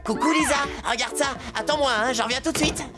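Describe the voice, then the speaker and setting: A woman's voice: high voice, fast talker, over loud music, lightly acted. A woman voicing an earnest, worried young farm boy in a children's cartoon. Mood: eager. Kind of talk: animated children's cartoon acting.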